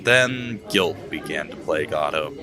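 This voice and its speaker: A man narrating a scripted audio drama in a steady storytelling manner, over background music. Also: extremely low pitch, unhurried, a crowd in the background.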